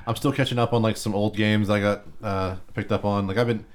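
A man's voice: fairly low pitch, fairly even intonation, a little fast, clear, resonant tone. A man co-hosting a podcast, chatting casually about games, rambling with many restarts and fillers. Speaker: podcast co-host. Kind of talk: casual chat.

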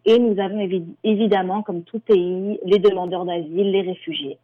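A woman's voice: medium pitch, fairly even intonation, moderate pace. A woman from France, an immigration lawyer, explaining things as a radio guest with many hesitations. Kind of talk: interview answers. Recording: tinny phone line.

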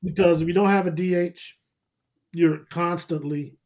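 A man's voice: high-pitched, steady tone, normal speed, darkish tone. A man, chatting casually about sports, rambling and laughing often. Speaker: podcast guest.

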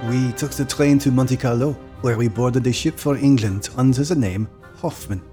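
A man's voice: mid-range voice, moderate pace, warm tone, soft background music, close to an everyday voice. An actor playing a reflective elderly survivor recalling his boyhood in an audio drama, wistful and reminiscing. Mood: nostalgic.

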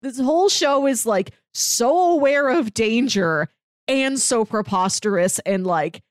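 Higher-voiced woman, chatty podcast talk. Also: animated, a little slow, no room sound, clean studio sound. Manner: chatty, peppers speech with "like". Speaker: podcast panelist. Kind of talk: casual animated-series discussion.

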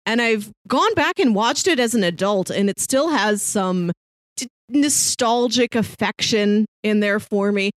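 A woman's voice: mid-range voice, lively intonation, measured pace, dry recording. A woman in her thirties co-hosting a podcast, chatting casually in a playful, wry way. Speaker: podcast co-host.

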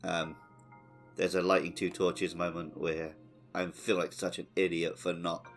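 A man's voice: low-pitched, level tone, unhurried, a bit bright, soft background music. A man hosting a podcast in casual, conversational talk. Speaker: podcast host. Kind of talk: casual chat.

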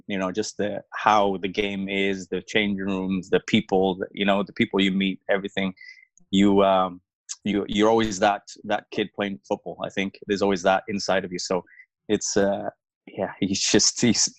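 Man in his 40s, a former pro footballer guest, low voice, dry close sound, rambling delivery with lots of fillers. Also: flat delivery, normal speed, no room sound. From Zambia and Wales. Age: early 40s. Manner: rambling, many fillers and restarts. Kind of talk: casual reflective interview talk.

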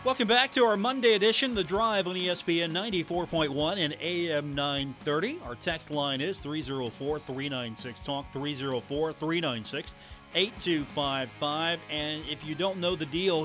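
A man's voice: a little high, normal speed, bright, forceful tone, faint music behind. A man hosting a live sports talk radio show.

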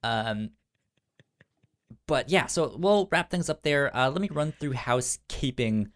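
A man's voice: mid-range voice, lively intonation. Stuttering man chatting on a podcast. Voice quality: stutter, repeating sounds and syllables. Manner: halting, informal. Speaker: podcast co-host. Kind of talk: casual podcast chat.

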